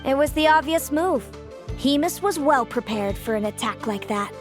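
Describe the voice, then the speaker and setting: A woman playing a cool, confident young rival beast trainer in a video game, speaking in a composed, terse, measured way. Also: high-pitched, expressive. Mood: smug, composed. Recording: game music behind the voice.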